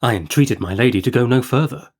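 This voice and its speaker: A man reading a Victorian short story aloud as an audiobook, with a measured, earnest, formal delivery. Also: medium pitch, expressive, a little mellow. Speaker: audiobook reader.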